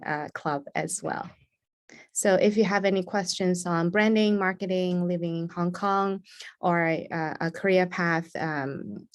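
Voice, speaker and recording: Lower-voiced woman, measured and careful. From China. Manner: measured, with many ums and self-corrections. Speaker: student panelist. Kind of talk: self-introduction on a panel. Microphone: video call.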